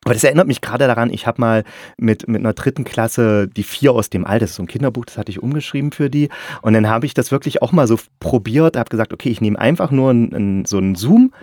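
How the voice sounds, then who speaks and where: A man's voice: medium pitch, fast, a little mellow, dry recording, studio quality. A man chatting casually as an interviewer, often breaking off and rephrasing his sentences mid-way. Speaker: interviewer, podcast host.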